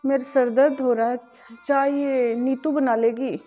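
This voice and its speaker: A woman's voice: high voice, fairly even intonation, measured pace, warm tone, faint music behind. A woman playing a weary, overworked elder daughter-in-law who pleads for fair treatment in a family skit. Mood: pained. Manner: pleading, aggrieved. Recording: dull lo-fi recording.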